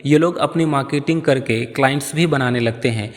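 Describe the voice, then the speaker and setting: A man's voice: mid-range voice, brisk. A man giving scripted explainer narration in an explanatory, storytelling manner. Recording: long reverb on voice.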